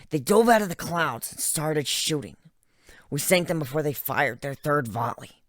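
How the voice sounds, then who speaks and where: A reader voicing a blunt but concerned female griffin in a dramatic story reading, earnest and deliberate. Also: mid-range voice, dry recording, studio quality, natural voice. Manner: earnest, firm, pleading, deliberate. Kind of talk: dramatic reading of fan fiction.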